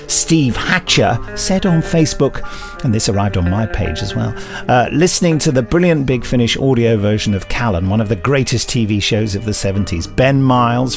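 A man co-hosting a podcast, reading out fan reviews in a steady, easygoing manner. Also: mid-range voice. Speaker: podcast co-host.

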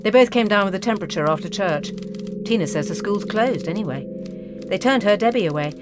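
An actress playing a steady, reassuring woman at a newspaper office in an audio drama, calm and brisk. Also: mid-range voice, over music, lightly acted.

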